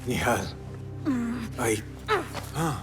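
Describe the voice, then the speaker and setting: An actor playing a troubled, earnest young assassin in a video game cutscene, speaking in measured, halting, formal phrases. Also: male voice, mid-range voice, huge pitch swings, creaky, over music, full character voice. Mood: earnest, subdued. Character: brooding, troubled young male assassin, earnest and guilt-ridden.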